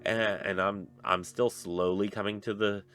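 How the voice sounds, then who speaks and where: A man's voice: fairly low pitch, flat delivery, normal speed, fairly bright tone. A young man with a stuffy nose, reviewing a book in a rambling, casual way with many fillers and restarts. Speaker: book reviewer on a pagan channel.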